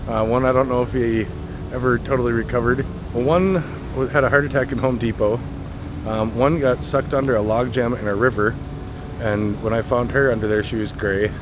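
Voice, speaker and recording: A man's voice: mid-range voice, steady tone, normal speed. A man answering a street interviewer in a plain, modest, matter-of-fact way. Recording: noisy street background.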